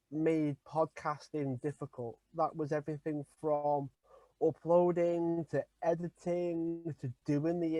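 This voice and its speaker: Male podcast guest: emphatic, repetitive phrasing, slightly high voice, dry recording. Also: a little mellow, no room sound, rough recording. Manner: emphatic, builds points by repetition. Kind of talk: candid interview talk.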